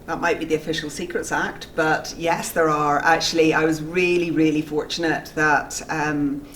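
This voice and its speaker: A woman in her forties with a notably low voice talks in a friendly, measured way, often pausing on an um. She sits a little back from the microphone, with faint hiss behind her. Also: little pitch movement, fairly bright tone, far from the mic. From Belfast, Northern Ireland. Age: 40s. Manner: measured and friendly, frequent ums. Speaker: dental school consultant and tutor, interviewee. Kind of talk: relaxed interview chat. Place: dental school, indoors.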